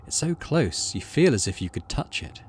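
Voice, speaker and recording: A man's voice: mid-range voice, sing-song, normal speed, slight background noise. A man hosting a video game playthrough, reading the story text aloud and adding casual commentary. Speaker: gaming channel host.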